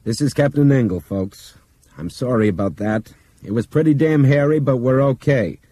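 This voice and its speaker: A man voicing a tough male airline captain who speaks bluntly and forcefully, swearing. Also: mid-range voice, normal speed, husky, dry recording, pitched above the performer's own voice, natural voice. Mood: stern.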